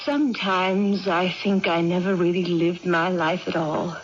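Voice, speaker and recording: Woman acting aging aunt, unhurried, reflective, matter-of-fact, vintage radio play, muffled sound. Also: lowish voice, lightly acted. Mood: musing, resigned. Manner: deliberate, musing, matter-of-fact with pauses. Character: contented, practical, aging aunt with a lost memory. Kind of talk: 1980s radio drama acting. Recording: dull, noisy old radio broadcast.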